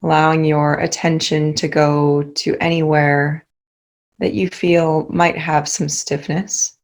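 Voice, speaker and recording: A woman guides a meditation in a low-pitched voice with a noticeable creak. She speaks slowly and calmly, leaving soothing pauses between short instructions.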